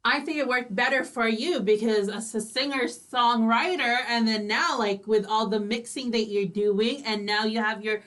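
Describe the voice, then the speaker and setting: A woman hosting a casual radio interview, supportive and quick with short affirmations. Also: fairly high pitch, a bit bright. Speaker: radio host.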